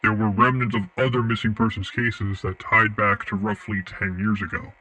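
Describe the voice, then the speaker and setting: A man's voice: fairly low pitch, little pitch movement, moderate pace, bright, forceful tone, poor audio. A man playing an investigator who gives a formal, matter-of-fact case report in an audio drama. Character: official male investigator, audio and video specialist, reporting.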